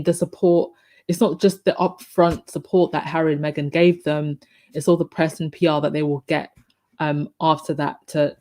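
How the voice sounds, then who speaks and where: Woman, croaky voice, live-stream host, casual chatty talk, lots of ums. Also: sits low, dark tone. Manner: chatty, restarts sentences with frequent ums. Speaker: livestream host reading viewer comments.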